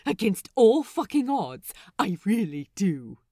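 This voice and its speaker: A woman's voice: mid-range voice, very animated, slow pace, warm tone, no room sound, clean studio sound, voice pushed up, exaggerated voice. A woman voicing a sassy, exasperated male pirate who argues emphatically, in a fan fiction audiobook. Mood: thoughtful. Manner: emphatic, sweary, argumentative.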